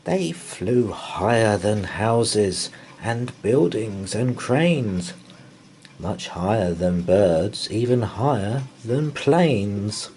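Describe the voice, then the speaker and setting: A man reading a children's bedtime story aloud in rhyme, with an expressive, theatrical delivery. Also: fairly low pitch, very slow, a little hiss or hum.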